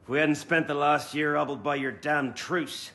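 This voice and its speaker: An actor playing a blunt, bitter assassin council member in a video game, sardonic and confrontational. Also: male voice, a little high, fairly even intonation, normal speed, creaky, pushed and bright. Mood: bitter sarcasm.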